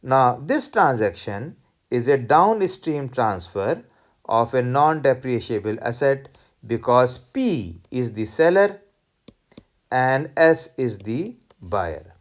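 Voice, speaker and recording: Lecturer, mid-pitched voice, teaching worked examples in a deliberate, step-by-step didactic manner. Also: very animated. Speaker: accounting lecturer.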